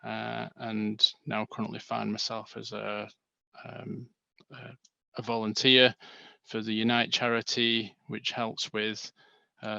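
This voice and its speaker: A man from Manchester, England with a Manchester accent, co-presenting a seminar in a halting way with many fillers and restarts, heard over a video call. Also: fairly low pitch, gravelly, pushed and bright, no room sound, muffled recording. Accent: Manchester English.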